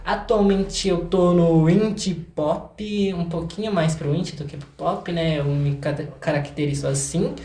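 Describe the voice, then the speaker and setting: A man's voice: a little high, measured pace, hardly any pauses, dark tone. A young Brazilian man chatting casually and playfully as an interview guest, with an informal, self-deprecating way of talking. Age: young adult. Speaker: singer-songwriter guest. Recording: echoey, roomy sound.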